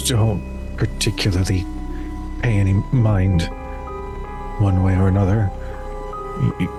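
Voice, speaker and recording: A man acts out a grave occult scholar for a tabletop game. The voice is low and unhurried, with halting formal phrasing and no sign of being bothered, over loud music. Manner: measured, halting, formal diction.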